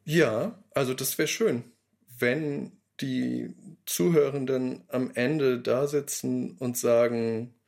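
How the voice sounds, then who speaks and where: A man's voice: medium pitch, steady tone, takes it slowly, creaky, a little mellow. A man who makes radio drama, giving a reflective interview statement.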